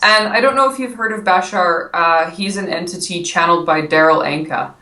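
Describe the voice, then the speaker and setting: Low-voiced woman, bright, in an echoey room. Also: fairly quick. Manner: friendly, explains with simple examples, laughs easily. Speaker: guest, Akashic records consultant. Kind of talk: casual interview chat. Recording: echoey room, far from mic.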